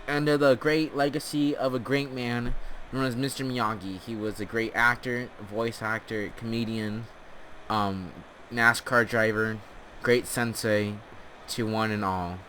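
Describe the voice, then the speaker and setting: Bright-voiced man rambling through comic stories. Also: medium pitch, fairly even intonation, a little slow, a little hiss or hum. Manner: rambling, tongue-in-cheek, mock-earnest. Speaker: host of a gaming storytelling channel. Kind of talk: casual comic storytelling over gameplay.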